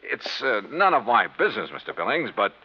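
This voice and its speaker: An actor playing a sharp, confident insurance investigator who narrates in brisk, wry 1950s radio detective style. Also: male voice, medium pitch, sing-song, breathy edge. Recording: muffled 1950s radio recording with music.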